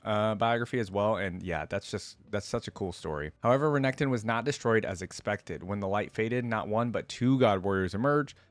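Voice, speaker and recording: Male reaction host, lower register, casual conversational read, dry recording. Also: no room sound. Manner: informal, conversational asides with frequent "like". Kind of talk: casual reaction commentary mixed with reading aloud.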